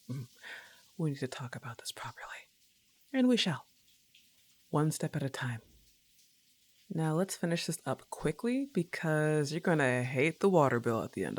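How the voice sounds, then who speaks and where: Slow, laid-back, teasing goth roommate, acted by a woman. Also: deep voice, heavily acted. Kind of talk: intimate ASMR roleplay acting.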